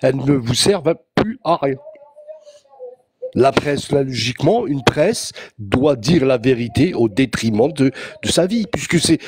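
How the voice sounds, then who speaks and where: A man's voice: medium pitch, sing-song, a little slow, slightly rough, no room sound. Emphatic male caller on a call-in show. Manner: emphatic, repetitive, forceful declarations. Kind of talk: heated call-in opinion.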